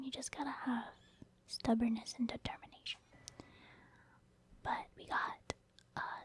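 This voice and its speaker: A woman giving casual, rambling ASMR commentary on her video game play in a breathy whisper, explaining her thought process. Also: high voice. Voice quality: breathy, mostly unvoiced whisper. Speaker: gaming ASMR creator.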